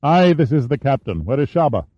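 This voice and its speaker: A man's voice: mid-range voice, sing-song, brisk, no echo, pitched above the performer's own voice. A man voicing a stern older battleship captain who gives clipped commands. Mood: commanding. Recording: dull, dry studio sound.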